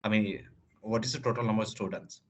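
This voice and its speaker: A man's voice: lowish voice, quick pace. A man from Bangladesh, a prospective student, asking a question on a video call. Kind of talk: asking a question in an online session.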